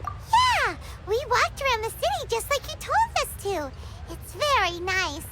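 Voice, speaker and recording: A woman voicing a tiny, excitable fairy companion in a game, talking in an animated, exclamatory way. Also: measured pace, heavy background noise, heavily acted. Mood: cheerful. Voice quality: squeaky, bubbly, refers to herself by name. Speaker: game character. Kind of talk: game cutscene voice acting. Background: game score music.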